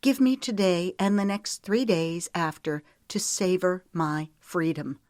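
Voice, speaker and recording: A stubborn, emotional woman in an audiobook drama, voiced by a female narrator. She is earnest and defensive, answering back in a voice pitched a little above the storytelling tone, in a dry recording. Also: a little slow, darkish tone, no room sound, natural voice. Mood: defiant, pleading. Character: stubborn, emotional woman pleading for her freedom.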